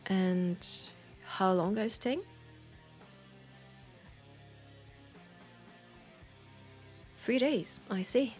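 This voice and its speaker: A woman acting a playful, nostalgic old friend in an intimate audio roleplay. She has a French accent and a mid-pitched voice. She talks slowly and teasingly, as in a casual chat, with faint guitar music behind her. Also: close to an everyday voice. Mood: curious, friendly. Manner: unhurried, teasing, breaking into soft laughs.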